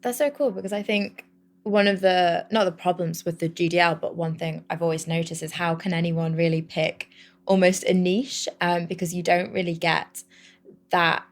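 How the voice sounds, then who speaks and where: A woman's voice: fairly low pitch, level tone, normal speed. A young adult woman hosting a podcast, chatting casually and enthusiastically with her guest. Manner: chatty, enthusiastic, many fillers. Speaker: podcast host. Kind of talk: casual interview chat.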